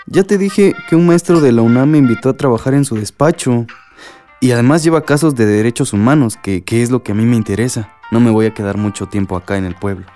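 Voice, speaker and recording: A relaxed, mellow young male voice with light music behind. It is an actor as an independent-minded Mexican son fresh from law studies in a radio soap, self-assured and informal. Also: medium pitch, quick pace, lightly acted. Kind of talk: radio soap opera dialogue.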